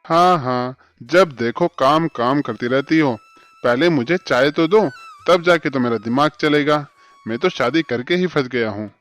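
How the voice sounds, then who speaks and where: A man's voice: medium pitch, measured pace, fairly bright tone, faint music behind, natural voice. A man voicing a lazy, boastful crow husband in a children's cartoon, flippant and self-important. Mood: grumbling. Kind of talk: Hindi cartoon voice acting for children.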